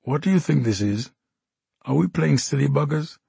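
A man's voice: a little high, lively intonation, normal speed, gravelly, dark tone, breathy edge, no room sound, voice pushed up, full character voice. A man voicing an embittered, drunk one-handed soldier who talks in a formal, officious way and growls. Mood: mocking. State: drunk and unsteady.

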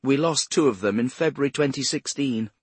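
A man's voice: mid-range voice, brisk, breathy edge, no echo, voice pushed up, close to an everyday voice. A man narrating an audiobook as a prim, self-righteous older woman with a cultured English accent. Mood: arch, composed. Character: cultured, haughty retired care-home matron, a grandmother.

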